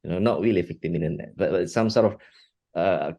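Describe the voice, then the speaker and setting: A middle-aged man from Singapore, answering interview questions in a halting way, often restarting and repeating words. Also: low-pitched, warm tone. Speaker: guest, art technologist and educator.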